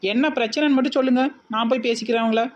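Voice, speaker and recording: A woman's voice: on the high side, fairly even intonation, rapid-fire, lo-fi sound, pitched below the performer's own voice, close to an everyday voice. A woman playing a sarcastic, put-upon village sister-in-law with a southern Tamil Nadu accent, alternating mock concern and wry asides in a comedy skit. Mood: coaxing.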